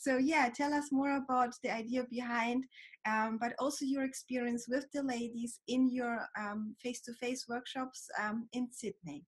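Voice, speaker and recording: A woman's voice: fairly high pitch, steady tone, normal speed. A woman hosting an interview, upbeat and chatty, laughing at times and filling her questions with ums. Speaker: interview host.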